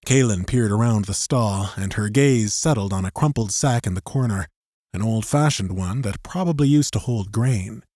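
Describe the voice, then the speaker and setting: A man's voice: fairly low pitch, moderate pace, dark tone, dry recording, clean studio sound. A man reading scripted fiction as an audiobook narrator, in a dramatic storytelling style.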